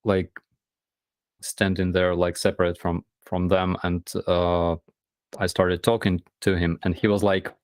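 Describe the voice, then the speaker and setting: A man telling a story in casual chat, halting with many ums and restarts. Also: low-pitched, level tone, no echo.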